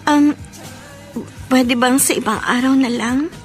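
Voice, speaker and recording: A woman voices a young radio-drama lover whose high voice breaks into stammers. She sounds hesitant and close to tears, evasive as she pushes her sweetheart away. Soft music plays faintly underneath. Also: fairly even intonation, slow pace.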